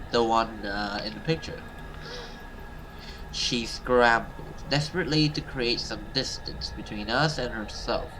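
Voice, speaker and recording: A man's voice: medium pitch, noisy background. A man doing casual, hesitant let's play commentary and reading a visual novel's story aloud, swearing now and then. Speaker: gaming YouTuber playing a visual novel. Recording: music playing behind the voice. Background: game music.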